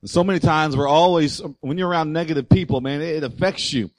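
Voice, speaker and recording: A man preaching a sermon as pastor, slightly hoarse, speaking loudly and emphatically and calling out to the congregation. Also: fairly high pitch, quick pace, no echo. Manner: loud, emphatic preaching with audience call-outs. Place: church.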